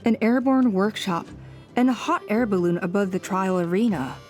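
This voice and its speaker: Woman playing serious careful tribe elder in a fantasy game, medium pitch, faint music behind. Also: moderate pace, darkish tone, close to an everyday voice. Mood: serious, cautious. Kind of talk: video game voice acting.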